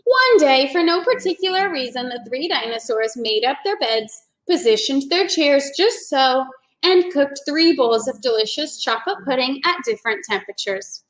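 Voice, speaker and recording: Animated woman reading a storybook aloud. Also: sits high, normal speed, slight background noise. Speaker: teacher. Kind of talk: reading a picture book aloud. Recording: echoey room.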